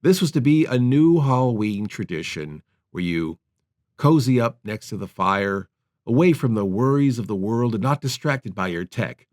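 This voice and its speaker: A man's voice: mid-range voice, expressive, normal speed, a little mellow. A man hosting a podcast, giving tongue-in-cheek commentary in a wry, mock-sincere way. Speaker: podcast host.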